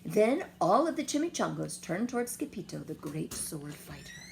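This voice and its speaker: A woman reads a children's story with a widely swinging, lively pitch and a touch of gravel in her voice. She is expressive and dramatic, with faint noise behind her in a homemade recording. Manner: expressive, dramatic pauses and whispers. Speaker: storyteller reading a picture book aloud. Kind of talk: animated children's story reading.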